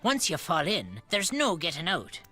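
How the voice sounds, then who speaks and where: A woman's voice: fairly low pitch, huge pitch swings, moderate pace, pushed and bright, breathy edge, soft background music, heavily acted. An actress playing a blunt, cryptic old Irish village woman in a video game, with a folksy, portentous way of telling things. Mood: warning, scornful. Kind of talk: video game character dialogue.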